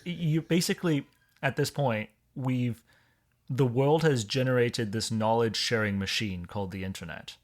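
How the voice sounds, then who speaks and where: A man from Hong Kong, a podcast guest in casual conversation, explaining things in a measured way. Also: mid-range voice.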